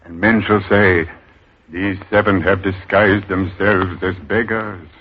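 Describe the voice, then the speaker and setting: An actor playing a cunning beggar leader who poses as a god, speaking in a solemn, commanding, declamatory old radio drama style. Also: male voice, sits low, steady tone, takes it slowly. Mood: scheming, assured. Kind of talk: 1940s radio acting. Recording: old muffled radio broadcast with music.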